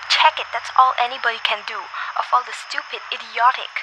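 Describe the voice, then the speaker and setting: Radio-play invalid wife, woman actor: demanding, querulous, melodramatic, high-pitched, clearly noisy recording. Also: steady tone, brisk, lightly acted. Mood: indignant. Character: imperious, nervous, bedridden invalid wife, alone at night. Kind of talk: amateur radio play acting.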